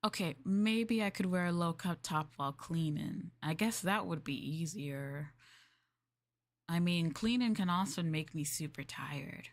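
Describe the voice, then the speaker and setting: A young woman with a slightly low voice chats softly in a maid roleplay, as if to her master. She rambles, giggles often and trails off into little hesitant fillers. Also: a little slow. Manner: playful, addressing the listener as master. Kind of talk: soft casual ASMR roleplay chat.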